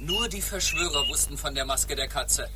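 A man's voice: on the high side, heavy background noise, lightly acted. A man playing an honourable captain of the guard, speaking formally and courteously in a radio play. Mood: earnest. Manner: formal, measured explaining. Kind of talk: German radio play acting.

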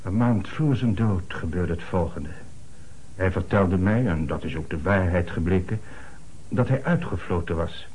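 An actor playing an earnest, formal middle-aged notary in a radio play, speaking in a measured way with dramatic emphasis. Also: male voice, sits low, darkish tone, slight background noise, poor audio. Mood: grave, emphatic.

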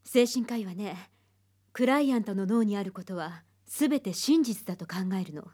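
An actress playing a composed, haunted psychiatrist, speaking in a measured, grave way in a Japanese radio drama. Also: female voice, mid-range voice, expressive, darkish tone, close to an everyday voice. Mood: calm, clinical. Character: composed, haunted female psychiatrist telling her dark past.

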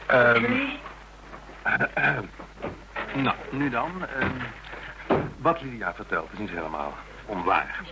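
An actor playing a formal, earnest, wordy legal adviser in a Dutch radio drama, hesitant and precise, with a Netherlands Dutch accent. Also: male voice, medium pitch, slow pace, vocal fry, long pauses. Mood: earnest, defensive. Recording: noisy, hissy radio drama recording.

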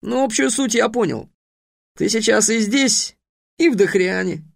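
A man voicing a calm, earnest young boy. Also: high voice, a little slow, vocal fry, dry recording, clean studio sound, voice pushed up, heavily acted.

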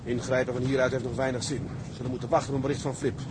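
A man's voice: medium pitch, little pitch movement, rapid-fire, noisy background, lightly acted. A man playing a terse, stoic and decisive tugboat captain in a Dutch maritime radio drama. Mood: grave, measured. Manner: terse, sober, commanding.

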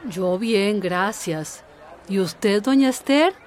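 Slow-spoken courteous village woman. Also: mid-range voice, lively intonation, a little mellow, some chatter behind. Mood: polite, puzzled. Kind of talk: radio drama acting.

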